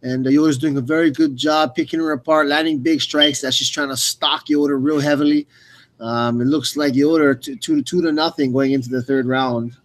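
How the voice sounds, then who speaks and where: A man's voice: on the high side, fairly even intonation, normal speed. A man working as a color commentator, giving analytical sports commentary in a conversational way.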